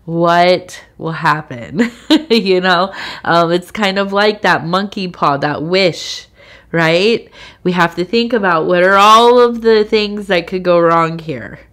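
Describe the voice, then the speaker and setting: A woman with a congested cold giving a soft-spoken, meandering tea leaf reading, with frequent fillers. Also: on the low side. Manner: slow, meandering, with many fillers and soft laughs. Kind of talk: soft-spoken ASMR tarot-style reading.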